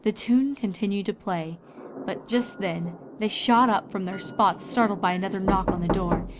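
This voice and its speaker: A woman's voice: mid-range voice, moderate pace, noisy background. A woman reading scripted story narration as a storyteller and voice actor.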